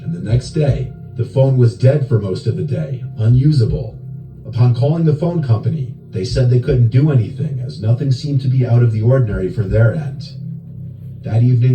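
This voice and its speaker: Male narrator for scripted scary true stories, mid-pitched, with backing music. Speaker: horror story narrator. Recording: roomy echo with music behind. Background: background music.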